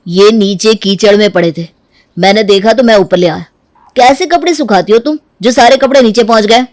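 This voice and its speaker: A scolding husband in a family story, voiced by a woman. The pitch is medium, the manner reproachful and matter-of-fact, and the recording is dry and close. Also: a little fast, a little mellow, no echo, close to an everyday voice. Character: scolding husband home from the office. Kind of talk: dramatised Hindi story dialogue.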